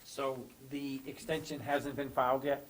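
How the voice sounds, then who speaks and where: Brisk man chairing a town meeting. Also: medium pitch, little pitch movement, low-quality recording. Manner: brisk, procedural questioning. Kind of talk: running a public meeting.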